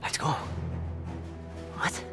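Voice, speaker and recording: A man's voice: drawn-out words, loud music behind the voice. An actor playing an anxious, exasperated everyman military pilot in a video game, with a sarcastic, pleading, agitated manner. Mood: frustrated. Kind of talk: naturalistic video game acting.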